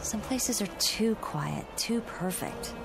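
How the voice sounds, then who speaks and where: An anxious young woman in a radio drama, lost somewhere eerie. Her mid-pitched voice has a noticeable creak and a hesitant, wistful delivery. Music plays underneath. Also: animated, takes it slowly. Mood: relieved, uneasy.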